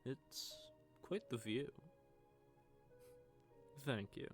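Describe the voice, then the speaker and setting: A man playing a smug, sardonic vampire who taunts a captive in a teasing, drawling, conversational monologue, with a gravelly voice. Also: mid-range voice, expressive, very slow. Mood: polished, charming. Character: smug, sardonic male vampire gloating over a captive hunter. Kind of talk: scripted audio roleplay monologue addressed to the listener. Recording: background music under the voice.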